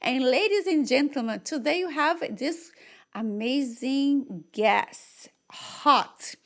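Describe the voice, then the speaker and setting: A woman's voice: sits high, animated, takes it slowly. A woman from Rio de Janeiro, whose first language is Portuguese, hosts an interview show with effusive, exclamatory talk and non-native English phrasing. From Brazil, Rio de Janeiro. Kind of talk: enthusiastic interview chat.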